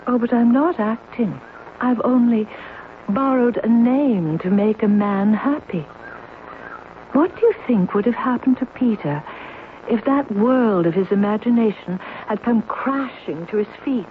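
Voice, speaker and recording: A woman's voice: fairly high pitch, measured pace, lightly acted. An actress playing a composed, earnest married woman living under a borrowed identity in a radio drama. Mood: earnest, defensive. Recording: narrowband old radio, faint noise.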